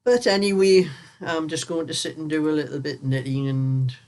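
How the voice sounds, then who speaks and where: An older woman, croaky from a cold, chatting casually while knitting as host of her own vlog, rambling through stories and laughing often. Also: deep voice, moderate pace, darkish tone.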